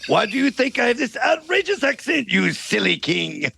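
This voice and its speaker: A man voicing a rude, mocking French castle guard in an exaggerated put-on French accent, shouting insults in an amateur comedy read-through. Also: very high voice, very animated, gravelly, husky, dry recording, full character voice. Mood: taunting. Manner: shouted insults, sneering drawn-out taunts.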